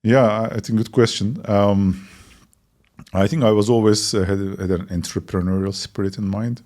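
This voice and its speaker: A man of about fifty from Turkey with a Turkish accent, answering interview questions reflectively with halting phrasing. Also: on the low side, steady tone, a little mellow. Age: around 50. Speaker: interview guest, technology entrepreneur.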